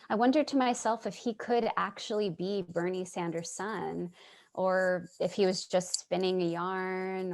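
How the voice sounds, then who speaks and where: A woman playing a sympathetic, curious narrator in a play reading, speaking in an earnest, reflective way. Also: on the low side. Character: Charlie, sympathetic, curious woman narrating her street encounters. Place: remote video call reading.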